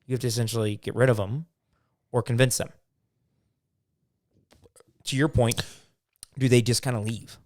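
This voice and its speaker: A man's voice: medium pitch, steady tone, moderate pace, pauses often. A man co-hosting a casual talk podcast, giving firm opinions and explaining with everyday examples. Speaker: podcast co-host. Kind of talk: casual chat.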